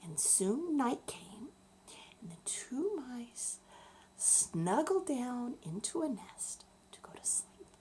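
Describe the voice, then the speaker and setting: Animated woman storyteller, unhurried pace. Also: fairly high pitch, slight background noise. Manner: expressive, deliberate, explaining to young listeners. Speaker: children's storyteller. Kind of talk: bedtime storytelling for children.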